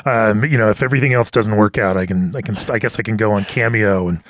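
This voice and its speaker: Calm man with a dry gravelly baritone. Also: fast, no room sound. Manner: relaxed, calm and soothing, with frequent fillers. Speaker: podcast host. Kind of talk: casual podcast conversation.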